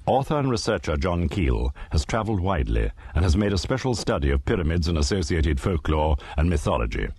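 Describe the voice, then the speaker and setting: Very deep, gravelly man narrating. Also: big pitch swings, brisk, few pauses, darkish tone, dry recording. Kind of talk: dramatised documentary narration.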